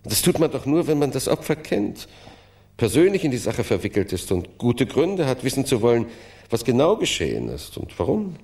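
An actor playing a suave, suspicious male publisher with a creaky voice and a deliberate, probing manner in a radio play. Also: medium pitch, huge pitch swings, a little mellow. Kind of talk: naturalistic radio play acting.

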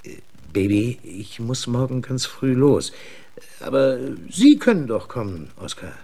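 An actor playing a jovial, boastful rich American host in a radio play, effusive and given to chuckling. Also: male voice, medium pitch, very animated, a little slow, dark tone. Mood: proud, hearty.

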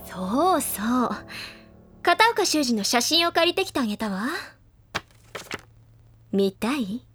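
A woman playing a confident, teasing high-school senior girl who recounts gossip in a feminine storytelling way in an audio drama. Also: sing-song, slow pace, fairly bright tone, soft background music, heavily acted. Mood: playful, informative. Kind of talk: anime audio drama dialogue.

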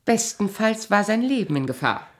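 A woman playing a proud, bitter abandoned wife in a radio drama, sharp-tongued, imperious and sardonic in a theatrical style. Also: medium pitch, very animated, moderate pace, lightly acted. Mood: bitter, reflective.

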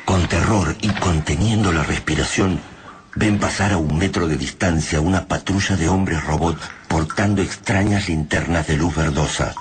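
A man with a Rioplatense accent narrating a radio drama in a grave, ominous, deliberate manner. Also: deep voice, noisy background. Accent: Rioplatense Spanish. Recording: narration over loud music.

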